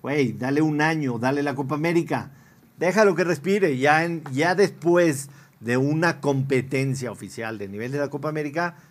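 A man's voice: a little high, moderate pace. A man with a Mexican accent hosting a sports show in a casual, slangy panel style.

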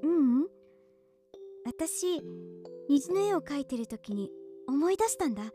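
A gentle, shy teenage girl speaks in a high voice with soft, heartfelt sincerity. It is polished radio drama acting, with faint music underneath. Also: sing-song, measured pace. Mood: tender, reminiscing.

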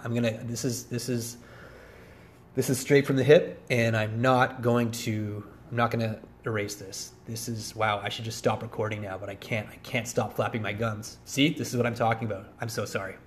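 A man's voice: fairly low pitch, fairly even intonation, fairly quick, a little hiss or hum. A man of about forty hosting a podcast in a rambling, casual solo monologue, with a wry, self-deprecating, digressive manner. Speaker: podcast host.

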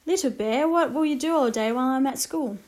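A woman's voice: high-pitched, fairly quick, darkish tone, lightly acted. A woman voicing an eager, curious young boy. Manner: eager questions, polite.

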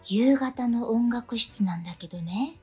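An airy schoolgirl in a comedy radio play, earnest and completely unflappable. Her voice is fairly high and a little husky, breezily matter-of-fact, with light music behind it. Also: a little slow, a little mellow. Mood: storytelling. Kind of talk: comedic radio drama dialogue.

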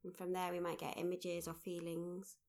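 A woman leading a guided mindfulness meditation, speaking gently in short, unhurried phrases with pauses. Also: on the low side, monotone. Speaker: meditation guide, workshop leader.

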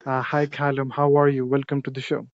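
A man's voice: medium pitch, fairly quick, dry recording. A man hosting a podcast who asks questions in a casual interview with short prompts and fillers. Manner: flat, brief prompts with fillers. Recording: dull muffled dry recording.

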